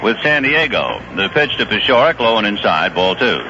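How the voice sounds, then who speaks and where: A man doing play-by-play baseball commentary on a vintage 1970s radio broadcast, brisk and rising on big plays. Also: on the low side, very animated, pushed and bright, lo-fi sound, slight background noise. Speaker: play-by-play announcer. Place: ballpark broadcast booth.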